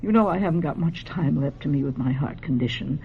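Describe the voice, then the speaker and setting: A woman acting in a radio drama as a bitter, pushy, ailing middle-aged mother with an American accent and a husky, nagging delivery. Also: deep voice, a little fast, narrow, tinny audio, exaggerated voice. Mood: bitter, driven. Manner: domineering, nagging.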